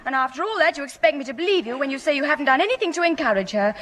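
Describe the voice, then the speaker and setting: A woman's voice: sits high, expressive, rapid-fire, a bit bright. A woman playing a cross, jealous young girlfriend, bossy and scolding, in a 1960s British radio sitcom. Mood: angry.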